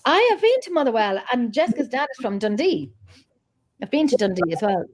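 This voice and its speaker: An Irish woman chatting as a guest on an online show, talking fast and laughing often. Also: on the high side, big pitch swings. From Ireland. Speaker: guest, founder of a paranormal group. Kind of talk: casual chat.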